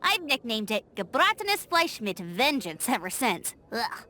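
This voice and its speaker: A woman's voice: on the high side, big pitch swings, unhurried, bright, forceful tone, slight background noise, full character voice. A woman playing a perky, friendly young scout in a video game, upbeat and chummy in manner. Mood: wry.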